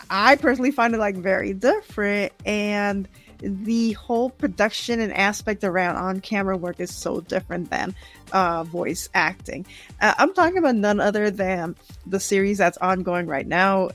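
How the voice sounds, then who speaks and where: Woman hosting a casual podcast over music. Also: mid-range voice. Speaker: podcast host.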